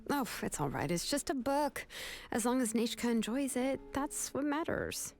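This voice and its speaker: A woman playing a composed, confident young craftswoman in a video game, calm and reassuring in manner. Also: sits high, normal speed, creaky, heavily acted. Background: game music.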